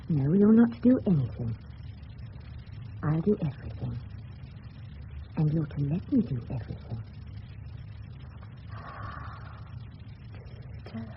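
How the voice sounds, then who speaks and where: Gushing English suburban wife, coaxing and genteel; radio drama, dull, noisy old recording. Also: female voice, sits low, very animated, a little slow, long pauses, heavily acted. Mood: soft, seductive. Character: seductive suburban married woman, gushing genteel hostess. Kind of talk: 1970s radio drama acting.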